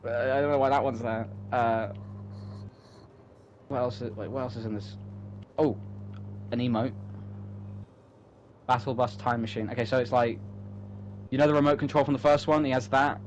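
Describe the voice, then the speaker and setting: A man talks in a loose, unscripted way, rambling with keen enthusiasm and the odd swear word. His voice sits at an average pitch, with noticeable noise behind him. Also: steady tone, normal speed, pauses often, dull audio. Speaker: co-host. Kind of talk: casual unscripted chat. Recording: clearly noisy background, home-made recording.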